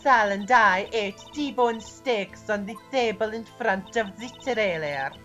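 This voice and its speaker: A woman's voice: medium pitch, slow, a bit bright, dull audio. A woman voicing a cold, menacing, imperious female producer in a video game fan dub, curt and disdainful, over music. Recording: faint background music behind voice.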